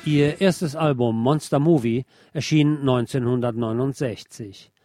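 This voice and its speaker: A man reading a scripted music feature as a radio presenter, in a measured, articulate, literary manner. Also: medium pitch. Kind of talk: scripted narration.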